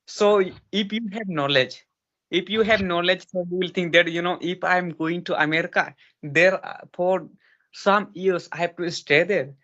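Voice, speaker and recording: A man's voice: sits high, normal speed, fairly bright tone, lo-fi sound. A man, lecturing earnestly in a casual practice conversation, with many restarts and fillers. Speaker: host giving advice.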